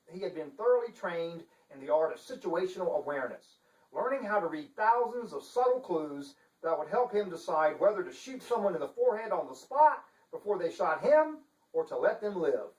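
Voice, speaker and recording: A man giving a theatrical, deliberate dramatic reading as the author of a literary novel. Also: sits high, big pitch swings, darkish tone. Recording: echoey room, distant microphone.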